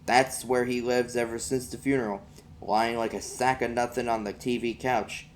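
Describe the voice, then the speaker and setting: A man with a medium-pitched voice reads a story aloud as an amateur narrator. The telling is first-person and folksy, recorded with a little noise underneath. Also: steady tone, moderate pace. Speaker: amateur audiobook reader.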